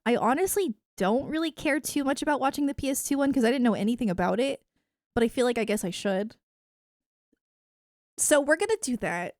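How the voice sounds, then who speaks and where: A woman chatting casually to viewers on a livestream in a giggly, animated, rambling way. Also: on the high side, no room sound, studio quality. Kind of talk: casual livestream chat about anime and games.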